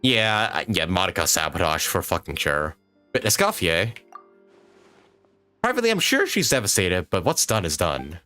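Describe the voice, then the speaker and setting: A game streamer with an extremely low voice and lively, swooping intonation, reacting sarcastically and swearing freely. Soft game music plays underneath. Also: female voice, moderate pace. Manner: sarcastic and profane. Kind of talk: live game commentary.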